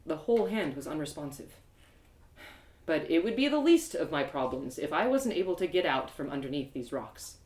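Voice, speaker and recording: A woman giving a theatrical, expressive dramatic reading of a story as its narrator. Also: sits low, moderate pace. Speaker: author performing her audio drama. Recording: roomy, echoey sound.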